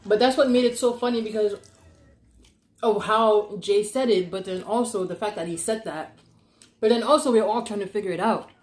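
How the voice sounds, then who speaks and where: A young adult woman telling stories casually and conversationally, animated and giggly, acting out what people said. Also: mid-range voice, moderate pace. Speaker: podcast host telling stories. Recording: echoey, roomy sound.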